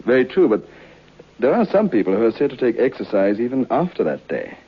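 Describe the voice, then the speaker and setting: An actor playing a polite, earnest young divinity student in a vintage radio drama, a little hesitant and defensive. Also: male voice, mid-range voice, big pitch swings, a little fast, breathy edge. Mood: courteous. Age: young adult. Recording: muffled old radio recording.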